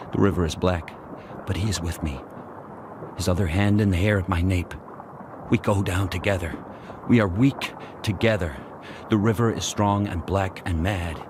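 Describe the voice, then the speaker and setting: Man voicing a deep, solemn, devoted dog. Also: close to an everyday voice. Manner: solemn, terse, incantatory delivery. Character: devoted, intense sled dog narrating his thoughts. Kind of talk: first-person radio drama narration. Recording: noisy rushing ambience behind voice.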